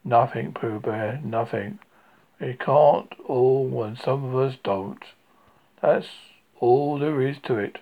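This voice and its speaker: A man voicing a gloomy, self-pitying old grey male donkey with a low, mournful delivery. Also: gravelly, narrow, tinny audio. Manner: mournful, sighing and slow.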